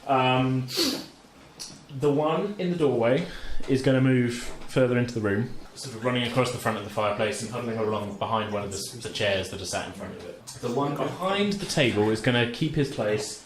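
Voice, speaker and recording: A man's voice: medium pitch, fairly quick. A man narrating a tabletop game as game master in a casual, improvised, hesitant conversational way. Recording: roomy echo, faint background noise.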